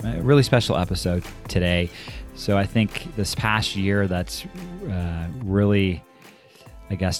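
A man with a very low, deep voice hosts an interview podcast. He talks in a relaxed, friendly, conversational way and lets a few "you know"s slip in. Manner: relaxed, friendly, with loose fillers. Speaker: podcast host.

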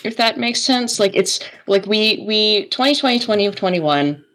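A woman of thirty co-hosting a casual podcast, speaking in a halting way and repeating words for emphasis. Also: mid-range voice, expressive, a bit bright. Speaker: podcast co-host.

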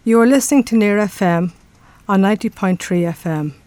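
A woman's voice: medium pitch, brisk, darkish tone, no room sound. Irish woman radio announcer, dry studio sound. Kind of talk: scripted announcement.

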